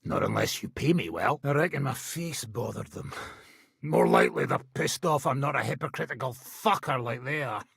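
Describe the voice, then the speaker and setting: An actor playing a gruff, brash, middle-aged medieval mercenary nobleman in a video game, with a raspy, gravelly voice. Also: mid-range voice, moderate pace, fairly bright tone, no room sound, studio quality, heavily acted. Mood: sardonic, gruff. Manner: brash, sardonic, swearing.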